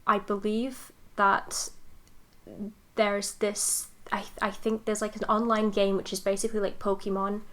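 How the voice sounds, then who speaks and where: A woman's voice: mid-range voice, little pitch movement, unhurried, a bit bright. A woman co-hosting a podcast, explaining in casual, rambling storytelling with wry asides. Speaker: podcast co-host.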